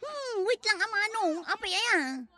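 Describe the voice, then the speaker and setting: An actress with a Filipino accent playing a chatty, lovestruck woman in a family comedy drama, with an expressive, drawling delivery. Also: very high-pitched, unhurried, exaggerated voice. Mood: flirty, giddy. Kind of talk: comedy audio drama acting.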